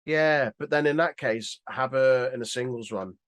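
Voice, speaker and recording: Bloke co-hosting a podcast, rambly casual opinions, some swearing, ordinary pitch, no room echo. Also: male voice, normal speed, a bit bright. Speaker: podcast co-host.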